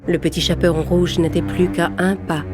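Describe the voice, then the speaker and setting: A woman's voice: on the low side, little pitch movement, normal speed. A woman narrating a scripted children's story as the storyteller. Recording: prominent music under the voice.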